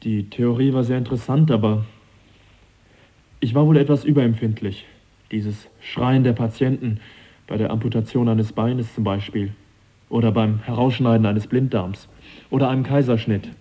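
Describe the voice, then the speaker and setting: An actor playing a reserved, sensitive young English naturalist in a radio drama, speaking politely in a measured, understated way. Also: male voice, medium pitch, fairly even intonation, mellow, slightly rough, close to an everyday voice. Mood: hesitant, troubled.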